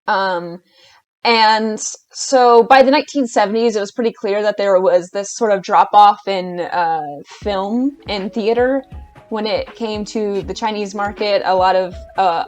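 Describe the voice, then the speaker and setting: Chatty enthusiastic woman ranting casually. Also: medium pitch. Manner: chatty and enthusiastic, hesitant with restarts. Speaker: YouTuber. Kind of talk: casual video-essay rant.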